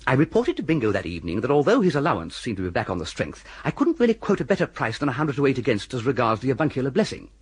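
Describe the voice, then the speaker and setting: An actor playing a breezy, dithering young English gentleman with an upper-class accent, who narrates and acts in a radio comedy. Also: mid-range voice, sing-song, very fast, few pauses, slightly rough. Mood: breezy narrating. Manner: hesitant, bumbling, flippant. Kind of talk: full-cast radio comedy drama with narration.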